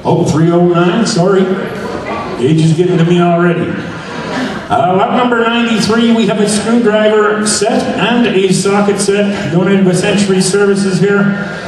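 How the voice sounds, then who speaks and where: A man's voice: sits high, steady tone, recorded from a distance, crowd noise. Man chanting auction bids in echoey hall. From Canada. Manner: rapid rhythmic bid calling. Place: hotel banquet hall stage. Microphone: handheld mic.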